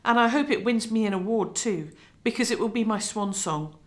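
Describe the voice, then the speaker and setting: A middle-aged woman playing a gracious, quietly resolute journalist near retirement in conversational radio drama dialogue. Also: on the high side, normal speed, natural voice. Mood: wistful.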